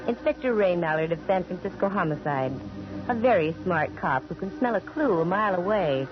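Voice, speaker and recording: A woman's voice: fairly low pitch, expressive, brisk, music in the background, lightly acted. Woman acting a wry girl detective, vintage radio. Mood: wry narrating. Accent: American. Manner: dry wisecracking, wry. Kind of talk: 1940s radio acting, first-person narration. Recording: muffled vintage 1940s radio broadcast.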